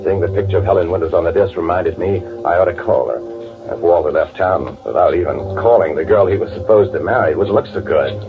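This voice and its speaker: A man acting as a panicky, guilty narrator in old-time radio drama, nervous and halting under pressure. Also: low voice. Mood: calmer. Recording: phone-like old radio recording with loud music.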